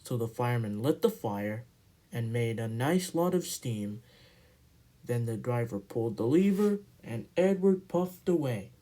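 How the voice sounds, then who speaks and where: A man narrating a children's storybook aloud in an amateur, expressive read-aloud style. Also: medium pitch, unhurried, rough recording.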